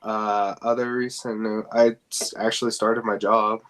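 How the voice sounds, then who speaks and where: A man's voice: fairly low pitch, little pitch movement, normal speed, a bit bright. A man co-hosting a podcast, chatting casually and giving his opinions in a conversational way. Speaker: podcast co-host. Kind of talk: casual chat about games and film news.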